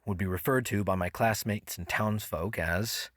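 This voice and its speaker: Man as low-voiced wry narrator of family memories. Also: moderate pace, fairly bright tone, dry recording, clean studio sound. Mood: wry, reflective. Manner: wry, reflective storytelling. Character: grown son wryly recalling his odd family. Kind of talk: scripted first-person audio drama narration. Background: guitar music.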